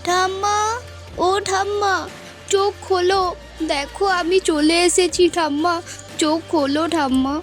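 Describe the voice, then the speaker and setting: Woman doing a very high stubborn village girl, earnest cartoon big sister, music behind her. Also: fairly even intonation, unhurried. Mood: grieving. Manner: insistent, earnest. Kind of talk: cartoon voice acting, dramatic dialogue.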